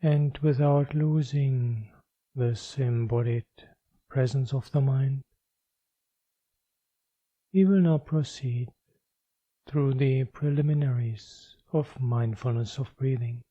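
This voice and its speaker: A man guides a meditation in a very dark, soft-edged voice. He speaks slowly and calmly, leaving long pauses between short phrases. The recording is close and dry, though somewhat dull and muffled. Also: medium pitch, no room sound. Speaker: meditation teacher.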